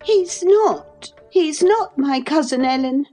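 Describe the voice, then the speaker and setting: A woman's voice: sing-song, measured pace, warm tone, faint music behind. A woman voicing a spoiled, indignant young girl who gives orders and turns tearful, in a storybook reading. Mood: distressed. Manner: haughty, commanding, high and pleading.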